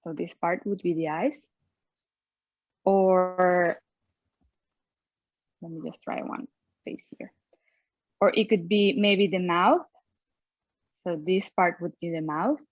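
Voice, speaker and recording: A woman's voice: fairly low pitch, takes it slowly, long pauses, no echo, highs cut off. A young woman with a Venezuelan Spanish accent, a native Spanish speaker, teaching an online drawing class in a cheerful manner and laughing often. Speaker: art teacher.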